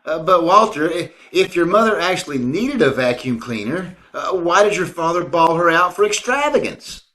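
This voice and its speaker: A man's voice: a little high, big pitch swings, moderate pace, bright, forceful tone, rough recording. A man playing a bashful, awkward teacher with hesitant, stammering delivery in old-time radio comedy style over a video call. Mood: sheepish, baffled.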